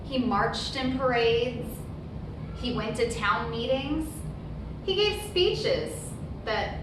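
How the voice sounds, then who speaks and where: A woman reading a children's picture book aloud as a storyteller, with deliberate, emphatic phrasing and brief asides. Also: a little high, expressive. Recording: very echoey room, distant mic, noisy background.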